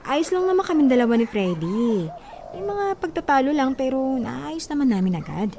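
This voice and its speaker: A woman's voice: high voice, big pitch swings, moderate pace, background noise. A woman playing a weary, long-suffering wife in her thirties, meek and evasive, in a Filipino radio drama. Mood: downplaying.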